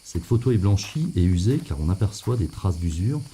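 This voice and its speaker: A man's voice: deep voice, very animated, moderate pace, warm tone, a little hiss or hum. A man giving a scripted, descriptive narration.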